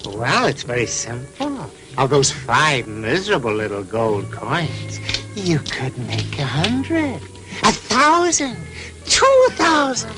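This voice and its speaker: A sly, falsely kindly animal swindler with an oily, coaxing delivery. The voice swoops theatrically through an old dubbed cartoon, over background music. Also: male voice, on the high side, big pitch swings, slow pace. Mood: wheedling. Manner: wheedling, theatrical, oily, repeats phrases. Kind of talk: dubbed vintage cartoon acting.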